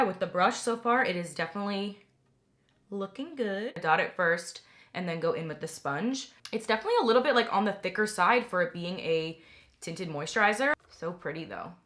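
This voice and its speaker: A young woman talking through a makeup product review in a chatty, enthusiastic way, her voice slightly raspy from a recent cold. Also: on the low side, fairly bright tone. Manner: chatty, enthusiastic, many "like" fillers. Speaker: beauty vlogger.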